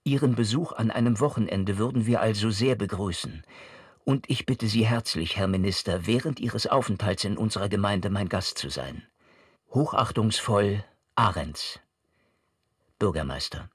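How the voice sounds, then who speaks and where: A man with a lowish, noticeably husky voice reads in a formal, official style. His delivery is measured and earnest, recorded close and dry without room sound. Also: dry recording. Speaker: reader of an official letter. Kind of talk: formal scripted reading.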